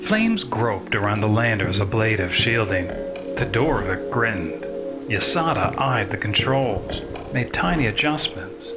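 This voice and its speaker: A man reading science fiction narration as an audiobook narrator, with measured, deliberate dramatic phrasing. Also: lowish voice, sing-song, over loud music.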